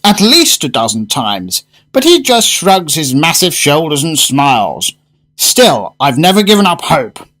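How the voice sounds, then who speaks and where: An actor playing a fussy, fervent gourmet in a radio drama, speaking in grandiose, emphatic phrases. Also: male voice, fairly high pitch, wildly swooping pitch, a little slow, pushed and bright, full character voice. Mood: wistful. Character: fussy, fervent gourmet diner, a well-spoken man.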